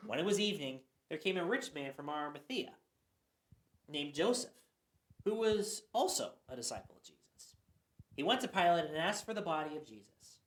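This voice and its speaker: A man's voice: fairly high pitch, very animated, moderate pace. Man reading scripture in an echoey room. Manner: earnest reading with some stumbles. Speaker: narrator. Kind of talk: scripture reading in a church radio play.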